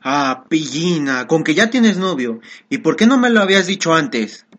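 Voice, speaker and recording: Radio comedy casting: male actor as a fond, confused dad, higher-voiced, very animated delivery. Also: moderate pace, muffled recording. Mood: fond, pleased. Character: doting, talkative father of a grown daughter, comically confused. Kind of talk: comic radio play acting.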